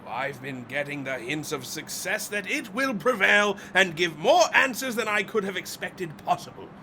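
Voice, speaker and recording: Fanatical scientist, high-pitched, sharp and forceful, declamatory, grandiose, radio drama. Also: male voice, sing-song, normal speed, a little hiss or hum, heavily acted. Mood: eager. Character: obsessive, grandiose, defiant male scientist inventor. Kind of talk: theatrical radio drama acting.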